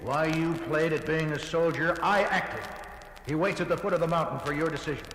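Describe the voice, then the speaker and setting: Man playing a scornful treacherous tribesman, highish voice. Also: fairly even intonation, a little fast, a bit bright, reverberant, big-room sound, a little hiss or hum, natural voice, poor audio. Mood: scornful, defiant. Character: treacherous, scornful rival tribesman, coolly defiant. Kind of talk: 1970s children's record audio drama acting.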